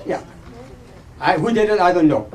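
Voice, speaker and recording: A man's voice: high-pitched, moderate pace, a crowd in the background. A man in his seventies with an Iranian accent, a native Farsi speaker giving a humble, joking acceptance speech with many hesitations. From Iran. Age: 70s. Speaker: award recipient, author.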